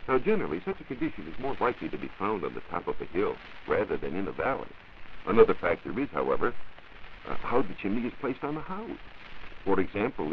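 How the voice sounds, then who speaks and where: Really low male voice, swoopy intonation, formal science talk, hissy dull 1940s radio. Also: gravelly. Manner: formal, expository, precise. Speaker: science reporter and radio panelist. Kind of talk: 1940s educational radio, scripted explanation.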